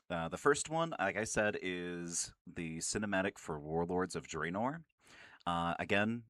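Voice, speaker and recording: A man hosting a video with casual, informal fan commentary, excitable and prone to swearing. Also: low-pitched, no echo, clean studio sound. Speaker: video host.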